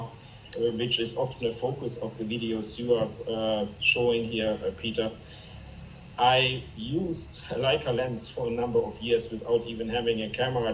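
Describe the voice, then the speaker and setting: Guest: man, German accent, earnest and halting, roomy room sound, away from the mic on a video call. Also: mid-range voice, far from the mic, dull audio, slight background noise. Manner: earnest, halting with many fillers. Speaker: interview guest, photographer.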